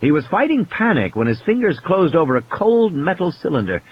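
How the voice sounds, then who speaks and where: A man's voice: fairly high pitch, very animated, brisk, dull audio, a little hiss or hum. A man reading a scripted adventure story as a dramatic audiobook narrator. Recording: music and sound effects behind the voice. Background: music and background sound effects.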